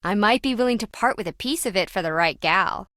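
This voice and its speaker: Woman acting a bright, brash, sardonic treasure hunter. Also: medium pitch, animated, brisk, no echo, clean studio sound, natural voice. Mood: sly, teasing. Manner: wry, breezy, slangy teasing. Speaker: video game voice actor. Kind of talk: video game dialogue.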